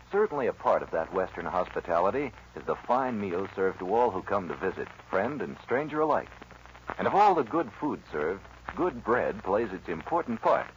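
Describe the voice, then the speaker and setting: A man reading a scripted advertisement as a commercial announcer on a 1940s radio recording. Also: fairly low pitch. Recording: muffled, slightly noisy vintage radio recording.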